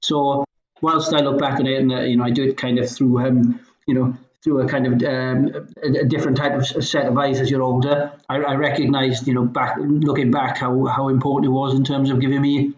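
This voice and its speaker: A middle-aged man with a soft, anglicised Welsh accent, talking casually as a podcast guest in hesitant, stop-start phrases. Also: mid-range voice, little pitch movement, a little fast. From Wales, Swansea Valley. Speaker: podcast guest, company founder. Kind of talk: casual conversational talk. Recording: roomy echo, slightly dull sound.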